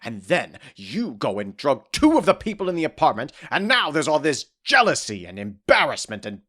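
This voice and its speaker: A man's voice: a little high, huge pitch swings, normal speed, sharp tone, exaggerated voice. A man acting a fussy, aggrieved fairy king in a comedic audio drama, with indignant, theatrical exasperation.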